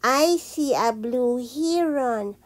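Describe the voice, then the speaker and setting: A woman reading a children's picture book aloud in a singsong, deliberate way, like a teacher with young listeners. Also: high voice, animated, very noisy. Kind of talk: children's read-aloud storytelling.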